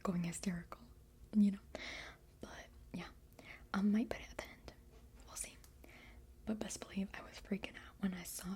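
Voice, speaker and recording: A young woman whispering close and soft as she chats through an unboxing, now and then breathily humming or half-singing a line. Her whisper is mellow and dark, never bright. A faint hiss sits under the voice. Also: mid-range voice. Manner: rambling, filler-heavy chatter. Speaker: vlogger. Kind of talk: whispered album unboxing chat.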